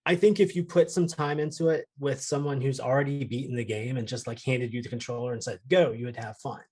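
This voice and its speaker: Man, husky voice, average pitch, casual panel chat, emphatic, sweary. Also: steady tone, a little fast, a little mellow. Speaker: podcast panelist. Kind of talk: casual chat about films and games.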